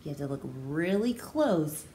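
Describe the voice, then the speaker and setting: A woman's voice: deep voice, big pitch swings, measured pace, dark tone. An American woman in her thirties, a pre-K teacher, reading a picture book aloud and explaining it expressively to children. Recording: echoey room with faint background noise.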